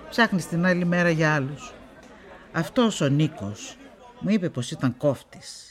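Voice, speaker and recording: An actress playing a stern, guarded older woman who runs a farm, curt and dismissive, in a naturalistic radio drama. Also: sits low.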